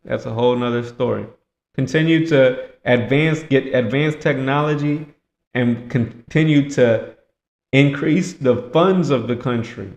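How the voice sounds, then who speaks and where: A man's voice: mid-range voice, a little slow, gravelly, a little mellow, muffled recording. A man giving political commentary in an emphatic, rhetorical way, repeating phrases for effect. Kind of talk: political commentary mixed with reading aloud. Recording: echoey, roomy sound.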